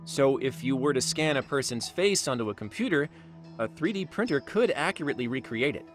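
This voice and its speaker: A man's voice: medium pitch, animated, a little fast, soft background music, lightly acted. A man playing a polite, tech-savvy character in an English-dubbed crime game, explaining things precisely. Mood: explanatory.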